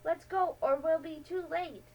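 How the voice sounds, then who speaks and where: Woman reader as excitable boy sleuth, storybook adventure. High voice, bossy, confident, deliberate pace. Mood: urgent. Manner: confident, commanding, explaining like a detective.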